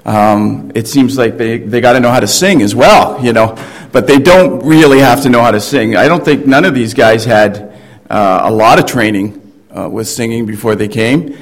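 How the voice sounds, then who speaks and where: A man's voice: medium pitch, moderate pace. A man speaking casually to a church congregation, introducing a group in a loose, chatty way with fillers and restarts. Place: church service.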